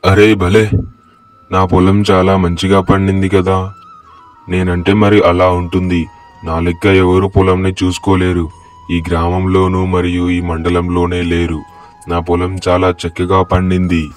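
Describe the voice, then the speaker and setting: A man's voice: sits low, smooth, lightly acted. A man playing a proud, blunt village farmer in a cartoon moral story. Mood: boastful. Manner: boastful, blunt, colloquial. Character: arrogant, scolding village farmer who later repents.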